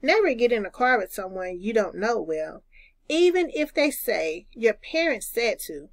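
A woman's voice: fairly high pitch, sing-song, moderate pace, dry recording. A woman voicing a sensible older boy who patiently instructs, in a children's storybook. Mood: instructive. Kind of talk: children's storybook read-aloud.

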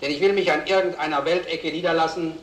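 An actor playing a wry, melancholy gentleman making a formal, reflective speech in a radio drama. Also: a little high, fairly even intonation, quick pace, roomy sound, slight background noise, close to an everyday voice, poor audio. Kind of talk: radio drama acting, after-dinner speech.

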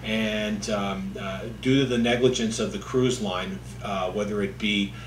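Echoey, low-voiced middle-aged man. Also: fairly even intonation, a little slow. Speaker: lawyer. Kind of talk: informal legal explainer to camera. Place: indoor office, seated at a distance from the camera. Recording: echoey room with faint hum.

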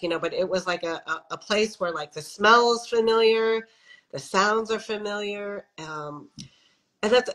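A woman's voice: fairly low pitch, a bit bright. Reflective woman talking over a video call. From American Midwest. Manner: reflective, conversational, many "you know" fillers. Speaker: guest, faith leader. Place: home office with windows, indoors. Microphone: video call webcam.

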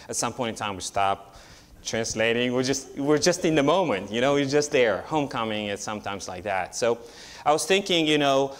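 A man in his thirties from Romania, with a Romanian accent, giving a chatty, story-filled guest sermon from a church pulpit. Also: mid-range voice. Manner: chatty, storytelling, with frequent restarts and growing excitement. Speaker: guest preacher.

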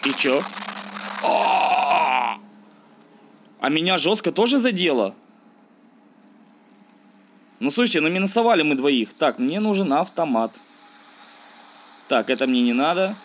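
A man's voice: a little high, sing-song, unhurried, long pauses, slight background noise. A man giving casual, slangy let's-play commentary, thinking aloud and grumbling as he plays. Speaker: gaming video host.

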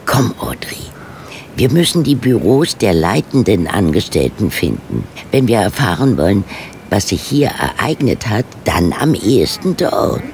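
A tart, stubborn amateur sleuth in a radio drama. The speech is formal and precise, animated in pitch and gravelly at the edges. Faint ambience sits behind it. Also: measured pace, slight background noise, full character voice. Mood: determined, curious. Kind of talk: German radio play acting.

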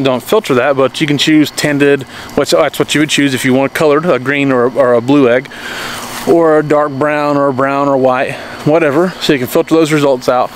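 A man's voice: a little high, slight background noise. A man in his thirties talking casually to a handheld camera in his backyard, rambling with restarts and often asking "okay?". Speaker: homesteading vlogger. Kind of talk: casual explainer vlog. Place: backyard outdoors. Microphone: handheld camera at arm's length.

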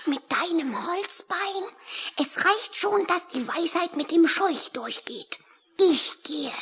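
Little girl hero for kids audio drama, high earnest voice, slowish, brave and polite. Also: expressive, fairly bright tone, full character voice. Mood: determined. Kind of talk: children's audio drama acting.

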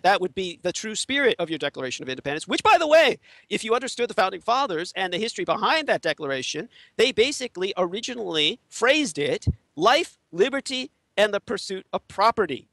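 A man from Taiwan whose first language is Chinese, an interview guest giving an emphatic, lecturing monologue that swings from loud high outbursts to low murmurs. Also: big pitch swings, fast talker, dry recording. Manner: emphatic lecturing monologue, voice rising and falling sharply. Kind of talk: conspiracy talk on an audio interview.

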